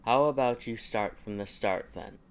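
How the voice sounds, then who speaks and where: A man's voice: mid-range voice, normal speed, clean tone, lightly acted. Man reading as haughty, sardonic young aristocratic wizard. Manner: dry, interrogating, formal. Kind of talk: audiobook character dialogue.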